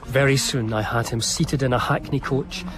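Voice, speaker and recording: An actor playing an eager, ingratiating gentleman, courteous and wordy, in a period radio drama. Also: mid-range voice, fairly even intonation, normal speed, music in the background, lightly acted. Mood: pleased, narrating. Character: eager, ingratiating Scottish gentleman, self-satisfied narrator.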